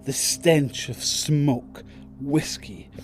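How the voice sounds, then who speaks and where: A man's voice: medium pitch, extremely slow, faint music behind. A man narrating a scripted audio drama in storytelling prose.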